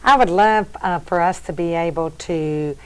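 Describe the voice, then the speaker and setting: A woman's voice: on the low side, clear, resonant tone, low-quality recording. Lady hosting local tv chat, lots of ums, admiring tone. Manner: warm, admiring, halting with fillers. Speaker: TV interview host. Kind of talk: local TV interview.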